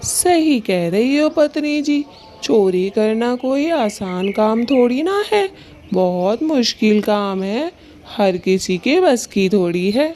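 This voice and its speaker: A woman voicing a doting, lazy male thief bird in a children's cartoon, cajoling and drawn-out. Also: fairly high pitch, lively intonation, mellow, a little hiss or hum. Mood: lazy, scheming. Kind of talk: children's cartoon story acting.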